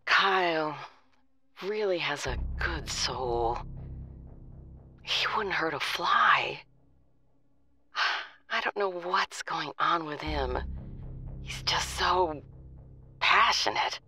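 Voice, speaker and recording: A woman's voice: deep voice, lively intonation, extremely slow, pauses often, pushed and bright, soft background music, heavily acted. An actress playing a weary, worried middle-aged mother of a missing grown son, hesitant and sighing in an audio drama. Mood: anguished, fond. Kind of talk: audio drama phone conversation.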